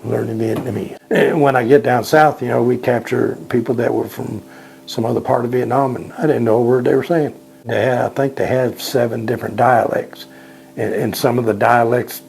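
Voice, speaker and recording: A man's voice: mid-range voice, fairly even intonation, normal speed, vocal fry, a little mellow, slight background noise. An older man, a veteran, recalling his service in a casual, rambling way, repeating words and using plain folksy speech. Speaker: Vietnam veteran interviewee. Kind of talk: casual spoken recollection.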